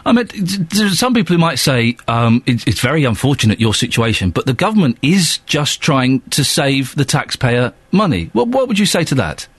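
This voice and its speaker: A male radio presenter who reads news links briskly and questions guests informally. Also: medium pitch, very animated, slightly rough, dry recording.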